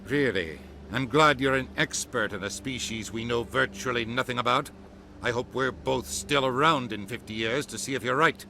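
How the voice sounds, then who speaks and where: An actor playing an irritable ambassador in a science fiction game, scolding with heavy sarcasm. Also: male voice, mid-range voice, moderate pace, sharp tone, a little hiss or hum. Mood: sarcastic.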